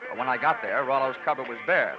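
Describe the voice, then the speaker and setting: A man's voice: medium pitch, fast, natural voice. An actor playing a wry, hard-boiled American insurance investigator, narrating his case with dry sarcasm in a 1940s radio drama. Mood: wry, brisk. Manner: sardonic, dry, wordy. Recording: muffled old radio recording with music.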